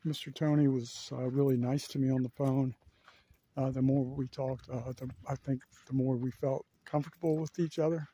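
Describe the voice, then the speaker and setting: A man's voice: mid-range voice, level tone, normal speed, warm tone, breathy edge. A man narrating a casual walking tour of a campground, thinking aloud with lots of fillers, recorded outdoors on a handheld camera. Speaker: vlogger giving a walking tour. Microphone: handheld camera while walking.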